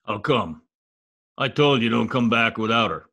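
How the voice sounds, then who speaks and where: A man playing a gruff, blunt gangster boss with tough slangy talk in a 1940s radio crime drama. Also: mid-range voice, expressive, normal speed, sharp tone, exaggerated voice. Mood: demanding. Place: home, recorded over video call.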